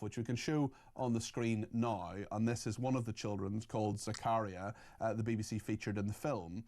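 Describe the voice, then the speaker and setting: Male radio host, medium pitch. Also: darkish tone. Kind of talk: conversational broadcast interviewing.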